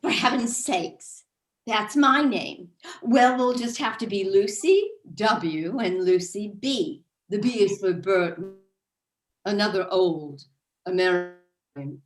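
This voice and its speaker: A woman's voice: medium pitch, lively intonation, slow pace. A woman playing a gushing, catty suburban housewife in a satirical staged play reading, with exaggeratedly chatty politeness. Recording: roomy echoing video call audio.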